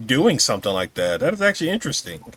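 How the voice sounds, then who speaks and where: A man's voice: medium pitch, wildly swooping pitch, quick pace, a bit bright, breathy edge, no echo. A man hosting a casual podcast interview. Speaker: podcast host.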